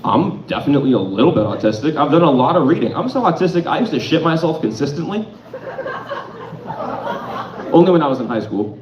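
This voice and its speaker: A man's voice: on the high side, big pitch swings, a little fast, long pauses, a little mellow, slight background noise. A man doing a self-deprecating stand-up set in a comedy club, rambling and stopping to correct himself. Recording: distant, echoey lo-fi club with crowd.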